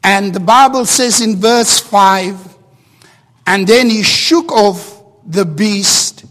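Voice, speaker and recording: A man whose first language is Afrikaans, preaching a sermon in English with halting restarts and rising emphasis. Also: high-pitched. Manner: starts quiet and halting, builds to loud emphatic preaching. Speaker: pastor. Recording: long hall reverb.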